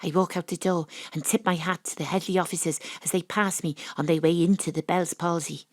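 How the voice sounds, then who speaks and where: A woman playing a cool, wry private detective in an audio drama, narrating and talking in a measured, theatrical way. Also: fairly low pitch, steady tone, darkish tone, slight background noise, close to an everyday voice. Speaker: lead actor and narrator.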